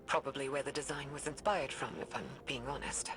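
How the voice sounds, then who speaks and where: An actress playing an anguished, guilt-ridden woman scientist dictating research logs in a measured, hesitant, breathy way, as in a dramatic video game. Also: a bass voice, steady tone, background noise. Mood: weary, measured. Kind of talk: dramatic video game voice acting, recorded log. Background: music.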